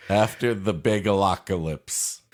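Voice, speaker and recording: Male comedy co-host, lowish pitch, slow delivery. Casual, wry riffing and banter. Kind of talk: casual comic commentary and banter.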